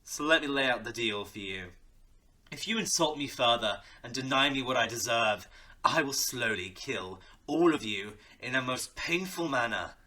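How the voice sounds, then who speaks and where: A man's voice: mid-range voice, pushed and bright, slightly rough, exaggerated voice. A man playing a smug, sadistic crime boss in an audio drama, taunting theatrically and slipping into menacing whispers. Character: smug, sadistic male crime-boss villain who threatens softly. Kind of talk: audio roleplay drama acting.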